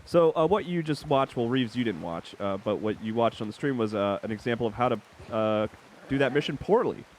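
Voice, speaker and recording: A man's voice: medium pitch, animated, fairly quick, slight background noise. A man hosting a casual game stream, upbeat and chatty, asking lots of questions. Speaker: stream host.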